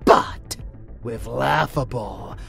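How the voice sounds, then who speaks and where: Man acting a slow, sneering vampire henchman. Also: mid-range voice, creaky, a bit bright, heavily acted. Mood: mocking. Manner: drawling, sneering, sudden swells. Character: rebellious male vampire henchman, sneering, loyal, unhinged. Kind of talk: dark fantasy audio roleplay. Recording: music bed under voice.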